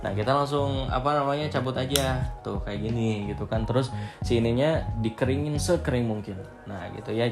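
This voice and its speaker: A man giving a casual, off-the-cuff product review, hesitating often with filler phrases. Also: fairly low pitch. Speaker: vlog host reviewing gear.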